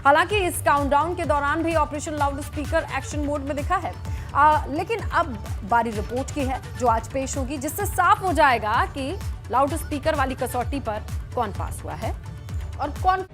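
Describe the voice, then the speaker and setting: High-voiced woman presenting the news. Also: expressive, moderate pace, fairly bright tone, faint music behind. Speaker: news anchor.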